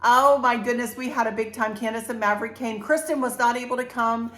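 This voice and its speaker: A woman's voice: fairly high pitch, steady tone, a little fast. An older woman, chatting bubbly and congested as a home decor vlogger talking straight to her viewers. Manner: bubbly, talks straight to viewers, warm fillers. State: congested, clearing throat. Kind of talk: casual chatty vlog. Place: home interior room. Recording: echoey room.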